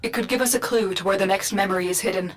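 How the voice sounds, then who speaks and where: An actress playing a calm, focused female mission guide in a video game, in measured, clipped phrases. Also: medium pitch, natural voice. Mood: businesslike. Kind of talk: scripted game mission briefing.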